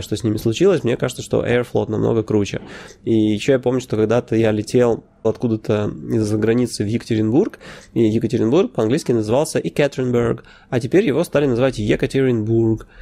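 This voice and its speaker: A man's voice: medium pitch, gravelly, warm tone. A man hosting a casual podcast monologue, talking in a conversational way with frequent filler sounds, self-corrections and wry, opinionated asides. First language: Russian. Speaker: podcast host.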